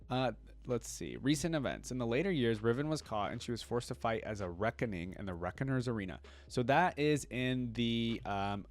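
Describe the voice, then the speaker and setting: A man reading game lore aloud as a reaction creator, breaking off for casual conversational asides. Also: medium pitch.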